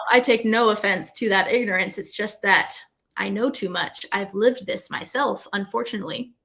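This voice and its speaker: A woman's voice: fairly high pitch, a bit bright. A woman hosting a live online talk, speaking fluently in an earnest and emphatic way.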